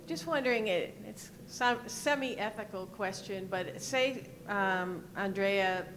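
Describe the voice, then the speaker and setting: Female audience questioner, very unhurried, tentative wording, clear noise in the background. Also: mid-range voice. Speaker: audience member asking a question. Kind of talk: spontaneous question from the floor. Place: indoor conference session.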